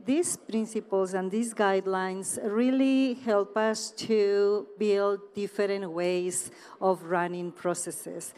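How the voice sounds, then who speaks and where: A woman speaking on a conference panel in a measured, deliberate way with many fillers and restarts. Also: medium pitch, a little mellow. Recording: reverberant conference hall.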